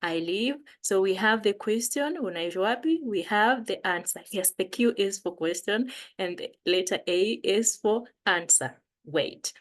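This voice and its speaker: A woman's voice: mid-range voice, lively intonation, a little slow. A woman with a Kenyan accent teaching a beginner language lesson, patiently repeating phrases.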